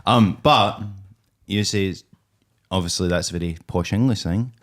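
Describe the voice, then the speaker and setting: A Scottish man with a low voice co-hosts a relaxed podcast. He teases his guest and jokes through the conversation. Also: measured pace. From Scotland. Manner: joking, teasing. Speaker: podcast co-host. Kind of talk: casual podcast chat.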